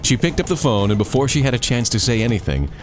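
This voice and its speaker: A man narrating a scripted scary campfire story, dramatic, with deliberate pauses. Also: mid-range voice, very animated, music in the background. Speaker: author and narrator.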